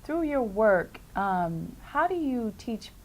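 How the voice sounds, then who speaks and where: A woman TV host who introduces a guest and interviews her, giving frequent soft affirmations as she listens. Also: on the high side, very animated, slow pace.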